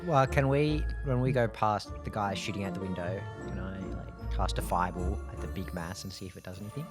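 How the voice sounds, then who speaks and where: A man's voice: deep voice, brisk, over music. A man chatting casually as a player in a tabletop role-playing game.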